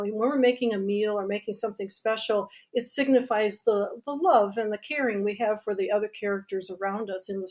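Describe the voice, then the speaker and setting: A woman with a fairly high voice speaks as an interview guest. She is chatty and expansive, explaining things in a casual, conversational way. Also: a little mellow. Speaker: guest, mystery author and writing teacher.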